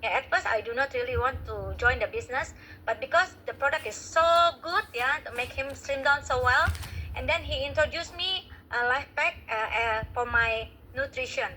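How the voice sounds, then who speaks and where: A middle-aged Indonesian woman speaks in a high, bright voice, halting and filling gaps with "yeah". Her accent is clear. A faint noise runs under her. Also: rough recording. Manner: hesitant, frequent "yeah" and "uh" fillers. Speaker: guest speaker. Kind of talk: online meeting testimonial.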